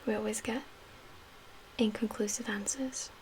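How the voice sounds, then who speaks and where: A woman playing a calm, guarded survivor leader in a soft-spoken ASMR roleplay, half-whispering in a measured, reassuring way with pauses. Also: mid-range voice, close to an everyday voice, rough recording. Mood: weary, resolute. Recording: audible background noise.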